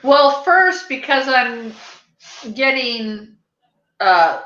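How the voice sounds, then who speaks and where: An American woman hosts a casual podcast with a chatty, rambling delivery. Her voice is bright and forward. The recording is echoey and slightly dull, like a lo-fi room pickup. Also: high-pitched, animated, extremely slow. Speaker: podcast host. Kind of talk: casual chat. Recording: roomy echo, dull lo-fi sound.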